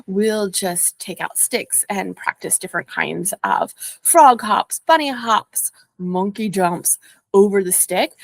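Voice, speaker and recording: Woman, preschool teacher, informal explanatory delivery, casual recommendation talk, unhurried pace, dry recording with little echo. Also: medium pitch. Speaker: preschool nature teacher presenting picture books.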